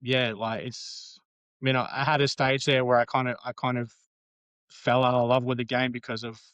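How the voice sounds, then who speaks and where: A man's voice: mid-range voice, level tone, moderate pace, fairly bright tone, clean tone, no room sound. A man in his late thirties with an Australian accent, chatting casually as a podcast guest, rambling with frequent ums and restarts. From Western Australia. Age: 30s.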